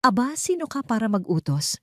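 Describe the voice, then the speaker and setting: A woman's voice: a little high, big pitch swings, fairly quick, no room sound, studio quality, pitched above the performer's own voice. A woman voicing a ruthless, frantic villainess in an audio drama, commanding and taunting. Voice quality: trembling, shouted delivery. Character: ruthless armed villainess, hateful, frantic, power-hungry.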